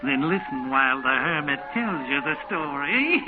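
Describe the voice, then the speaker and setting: An actor playing a sinister old hermit who tells ghost stories with theatrical menace in a 1940s radio horror show. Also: male voice, fairly high pitch, animated, moderate pace, over loud music, natural voice. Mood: gleefully menacing. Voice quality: cackling. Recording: noisy, tinny 1940s radio recording.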